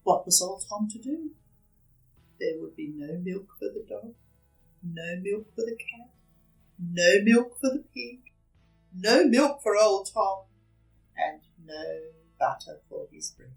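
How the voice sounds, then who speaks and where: Slow, dramatic woman storyteller. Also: mid-range voice, animated, gravelly, far from the mic, faint music behind, low-quality recording. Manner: dramatic, with emphatic repetition and drawn-out words. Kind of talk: expressive children's read-aloud.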